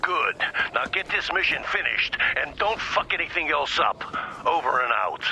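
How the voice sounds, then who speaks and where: An actor playing a gruff, demanding military commander speaking over a radio in a video game. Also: male voice, on the high side, very animated, moderate pace, pushed and bright, a little hiss or hum, full character voice, poor audio. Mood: curt, stern. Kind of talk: video game cutscene acting.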